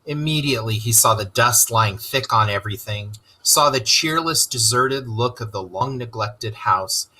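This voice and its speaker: A storyteller giving an expressive, dramatic reading of a children's story. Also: mid-range voice, normal speed, hardly any pauses, breathy edge. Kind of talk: scripted narration, children's story read-aloud.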